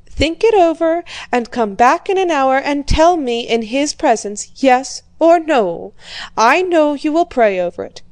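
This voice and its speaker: A woman voicing an irascible, domineering old prince who shouts at his daughter. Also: no room sound. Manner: shouting, rising pitch.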